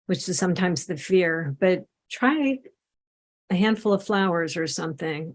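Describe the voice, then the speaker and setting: A woman facilitating a guided self-inquiry session over a video call, speaking in a gentle, encouraging way. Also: lowish voice. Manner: gentle, encouraging, drawing while talking. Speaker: satsang facilitator and coach.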